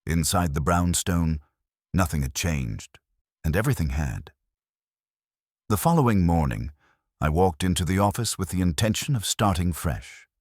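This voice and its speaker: A man's voice: low voice, lively intonation, moderate pace, long pauses, no echo, studio quality. A man reading a first-person detective story as scripted narration. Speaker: audiobook narrator.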